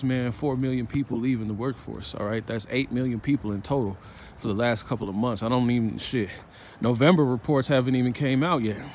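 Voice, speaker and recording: A man talks while walking down a city sidewalk, preaching his views in a street-slang manner and checking in with "all right?" and "man" again and again. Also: mid-range voice. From Chicago, United States. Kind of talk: walking vlog commentary. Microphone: phone held while walking. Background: faint traffic and street ambience.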